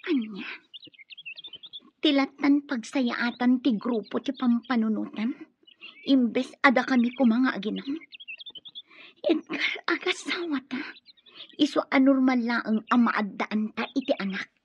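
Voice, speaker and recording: A woman's voice: fairly high pitch, unhurried, pauses often, a little hiss or hum. A woman acting an earnest young lover who pleads and is easily hurt, in a radio drama with an Ilocano accent. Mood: hurt, pleading. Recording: dull lo-fi audio over music.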